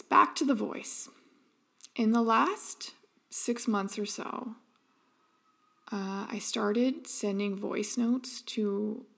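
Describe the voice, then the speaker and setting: A woman in her thirties talks through a personal story as a podcast host. Her voice is gravelly with a fry at the ends of phrases, and her pitch sits mid-range. She speaks earnestly and often pauses on ums and restarts. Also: fairly bright tone. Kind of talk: reflective personal monologue.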